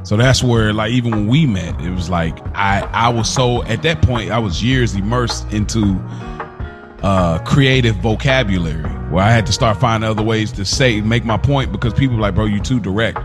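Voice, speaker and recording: A man in casual podcast conversation, telling stories in a straightforward, slangy and somewhat halting way. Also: lowish voice. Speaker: podcast co-host. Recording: music playing behind the voice. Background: music.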